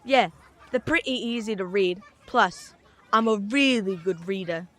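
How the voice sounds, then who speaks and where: A woman's voice: medium pitch, unhurried, fairly bright tone, natural voice. A woman voicing a chatty, cheeky little British boy in an audio drama, with a frank, rambling, childlike manner. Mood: eager, frank. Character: chatty, cheeky, earnest little boy who loves comics.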